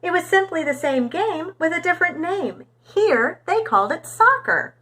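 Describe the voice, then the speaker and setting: A woman reading a storybook aloud for young children in an expressive, animated storytelling manner. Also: very high voice, normal speed.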